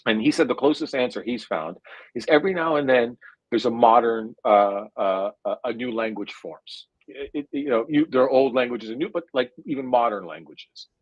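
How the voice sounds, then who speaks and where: A man's voice: medium pitch, no room sound, lo-fi sound. Interview guest, man in his 40s, New Jersey accent, hesitant and reflective delivery, mid-range voice. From India. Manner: thoughtful, hesitant, full of drawn-out "uh" fillers. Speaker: guest neuroscientist. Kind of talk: conversational interview answer.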